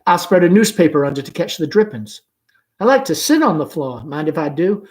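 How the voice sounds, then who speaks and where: A man acts out a confident young gentleman caller in a stage play. He is hearty and gallant, with gentle humor. The pitch sits lifted and the delivery is easy and outgoing. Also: lively intonation, brisk, close to an everyday voice. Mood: breezy, friendly. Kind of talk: play read-aloud.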